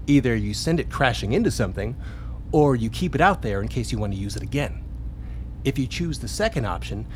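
A man's voice: mid-range voice, slight background noise, close to an everyday voice. A man playing an enthusiastic, sweary hacker researcher in a radio play, explaining things casually. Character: excitable, laid-back hacker researcher, obsessed with mysterious transmissions.

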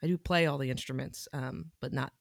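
A woman in her thirties, a musician guest on a podcast, talking in a laid-back way with soft laughs and many ums. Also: extremely low pitch, steady tone, a little fast, vocal fry, no echo, studio quality. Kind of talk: casual interview chat.

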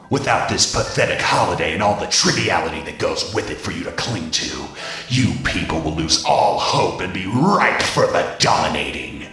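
A man's voice: low voice, pushed and bright, heavily acted. A man playing a haughty, scheming evil robot in a radio play, with a pompous, theatrical delivery. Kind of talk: audio drama villain acting. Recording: echoing voice over music.

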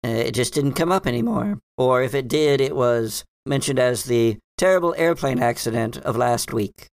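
Man playing a creaky-voiced, hesitant bookish librarian. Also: mid-range voice, animated, no room sound, studio quality, natural voice. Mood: hedging, dismissive. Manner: halting, hedging, rambling. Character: hesitant, bookish librarian, earnest and mildly dismissive. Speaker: game master. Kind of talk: improvised in-character tabletop roleplay.

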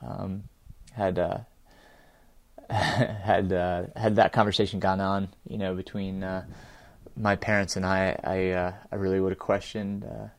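A middle-aged man recording a reflective, unscripted audio journal as a podcast host, speaking haltingly with many filled pauses. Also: deep voice, level tone, gravelly, dry recording. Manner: halting, hesitant, with frequent filled pauses and small chuckles. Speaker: podcast host and amateur ultrarunner.

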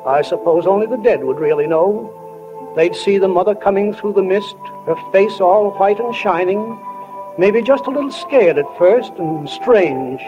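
Casting: ruminative small-town narrator, high voice, old radio drama style over prominent music. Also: male voice, a little slow, dull audio. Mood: musing, solemn. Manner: plainspoken, ruminative. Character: philosophical folksy small-town narrator musing on life and death. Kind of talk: 1940s radio acting, reflective narration. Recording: 1940 radio broadcast with music underneath.

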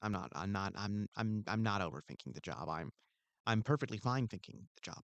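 A man's voice: lowish voice, lively intonation, brisk, creaky, a bit bright, no room sound, dull audio. A man playing an insecure, self-important security guard who rambles and stammers. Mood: defensive. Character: insecure pompous male mall security guard. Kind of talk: improvised comedy scene.

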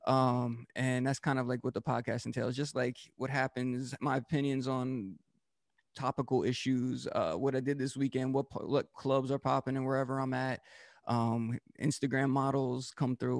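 A man's voice: medium pitch, vocal fry, a little mellow, no room sound, studio quality. A man from Delaware, a DJ and podcast host, talking loosely as an interview guest, with many fillers and restarts. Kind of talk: casual interview talk.

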